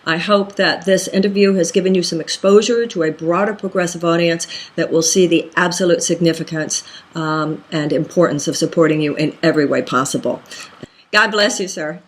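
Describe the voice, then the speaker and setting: A woman's voice: on the low side, fairly quick. A woman from Texas hosting a political interview, asking earnest, emphatic questions. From Texas, USA. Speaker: interview host.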